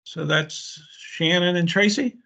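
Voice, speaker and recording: A man's voice: high voice, takes it slowly, creaky, pushed and bright. A man chairing a formal online committee meeting as a co-chair, working through procedure. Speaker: meeting co-chair, government agency staffer. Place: indoors on a video call. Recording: dull, lo-fi video call audio.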